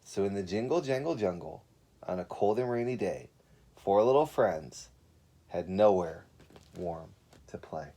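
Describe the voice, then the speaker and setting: A man's voice: low-pitched, lively intonation, unhurried, long pauses, dark tone. A man teaching and reading aloud for young children, with casual asides and simple, repeated directions.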